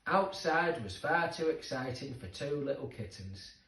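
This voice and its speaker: A man's voice: mid-range voice, expressive, unhurried, a bit bright. A man narrating a children's story expressively, with dramatic emphasis for young listeners. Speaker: storybook reader. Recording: roomy echo, distant microphone.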